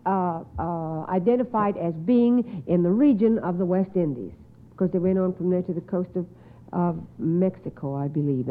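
A woman hosting a radio quiz in a precise, formal, encouraging manner. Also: lowish voice. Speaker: quiz show host. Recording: tinny, narrow old radio broadcast.

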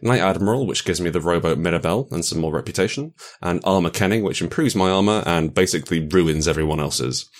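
Very deep-voiced man explaining game rules. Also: fast, few pauses. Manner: explanatory, playful, laughs easily. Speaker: game designer guiding character creation. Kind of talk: casual tabletop chat.

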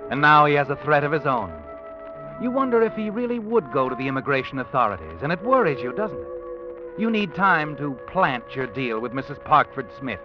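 An actor playing a sly, taunting, all-knowing narrator of a 1940s radio suspense drama, insinuating and dramatic. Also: male voice, a little high. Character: sly, taunting omniscient narrator needling a schemer. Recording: dull old radio recording, loud music behind.

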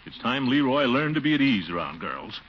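An actor playing a pompous, genial middle-aged uncle, indulgent and blustery, in old-time radio comedy style. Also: male voice, mid-range voice, big pitch swings, fairly quick, natural voice. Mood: genial, teasing. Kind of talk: 1940s radio situation comedy acting. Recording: muffled, noisy old radio recording.

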